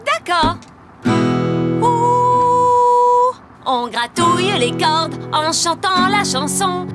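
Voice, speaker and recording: A woman's voice: very high voice, expressive, very slow, exaggerated voice. A woman voicing a bubbly grown-up female animal in a French-dubbed preschool cartoon, hearty and exclamatory. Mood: enthusiastic. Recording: music behind the voice.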